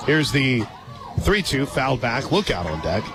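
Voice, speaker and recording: A man with an American accent calling softball play-by-play for radio in a conversational, excitable manner. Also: mid-range voice, unhurried. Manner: conversational, excitable on big plays. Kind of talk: live softball commentary. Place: outdoor stadium radio booth. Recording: loud crowd and music, outdoors. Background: loud crowd and music.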